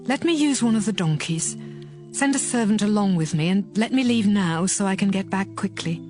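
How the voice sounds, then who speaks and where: A woman's voice: medium pitch, lively intonation, normal speed, a little mellow, soft background music, close to an everyday voice. A woman playing a determined, earnest wife of ancient Israel in a dramatized scripture audiobook.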